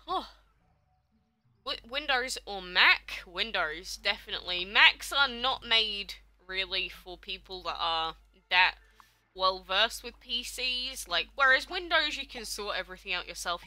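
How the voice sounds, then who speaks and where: A woman's voice: mid-range voice, lively intonation, a little slow, bright, forceful tone, dry recording. A young woman, streaming a game live and chatting casually as she answers viewers' questions. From England. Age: young adult.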